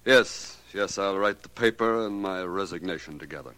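A chastened man of science in vintage radio drama. His voice is low and bright-edged, reluctant in temper. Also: moderate pace. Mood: resigned. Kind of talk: 1940s radio drama acting. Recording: vintage 1940s radio recording.

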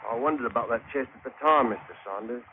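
Man playing a quick-talking rough seaman, tinny radio. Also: medium pitch, lightly acted. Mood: eager. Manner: salty sailor dialect. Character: rough working seaman, gloomy yet eager for fortune. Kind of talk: 1940s radio drama acting. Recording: tinny old radio with faint noise.

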